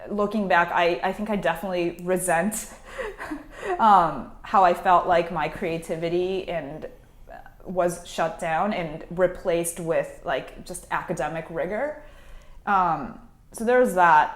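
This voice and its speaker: A young woman from Japan telling her life story in an oral history interview, hesitant and full of fillers and restarts. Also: lowish voice. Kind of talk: reflective personal storytelling. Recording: echoey room.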